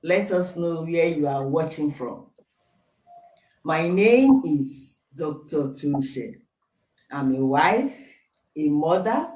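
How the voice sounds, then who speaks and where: A woman from Nigeria hosting a live online talk show, speaking slowly and formally as she welcomes viewers. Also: deep voice, expressive, darkish tone, roomy sound, far from the mic. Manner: slow, deliberate, formal welcomes.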